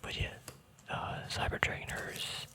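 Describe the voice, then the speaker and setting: Whispering man, relaxed ASMR ramble. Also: measured pace. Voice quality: breathy, unvoiced whisper. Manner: relaxed, tentative, often correcting himself. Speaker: host of a hobby card-game ASMR video.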